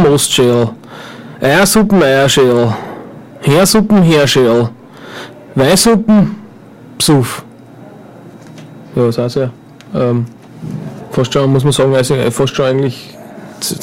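A man's voice: medium pitch, a little hiss or hum, rough recording. A man with an Upper Austrian accent chatting casually as a guest in a radio studio, rambling and joking with lots of fillers. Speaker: guest performer.

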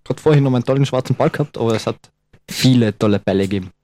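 A man's voice: medium pitch, very fast, dark tone. Young man, campus radio co-host, rambling and playful. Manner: joking, rambling, many fillers. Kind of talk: casual radio chat.

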